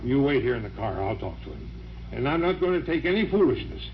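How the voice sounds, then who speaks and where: A man's voice: mid-range voice, very animated, fast talker, natural voice. Man playing brusque small-town doctor on dull vintage radio. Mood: impatient, determined. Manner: urgent, authoritative. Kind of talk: old-time radio drama acting. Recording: dull vintage radio recording, faint hiss.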